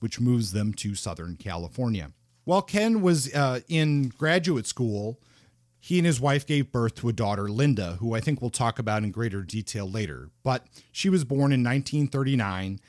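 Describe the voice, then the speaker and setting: A man's voice: medium pitch, darkish tone, dry recording. Man talking books on a podcast, dry mic. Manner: unscripted, with fillers and restarts. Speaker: podcast host. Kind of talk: conversational podcast talk about books.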